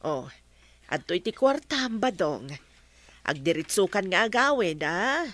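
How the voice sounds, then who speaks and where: A woman's voice: mid-range voice, sing-song, measured pace, fairly bright tone, no echo. A woman acting a fond, generous lady in a comedy drama. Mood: doting. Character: fond, generous soldier's widow or wife with a pension.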